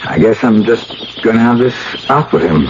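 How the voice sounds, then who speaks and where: Radio drama lead, male actor: low, resolute, impatient scientist and suitor; dull, noisy vintage recording. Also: animated, moderate pace, lightly acted. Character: determined, impatient male rat researcher in love. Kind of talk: old-time radio drama acting. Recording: muffled, noisy old radio broadcast.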